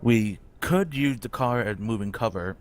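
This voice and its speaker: A man's voice: on the low side, lively intonation, unhurried. A man talking casually as a player in a role-play game, hesitant and thinking aloud.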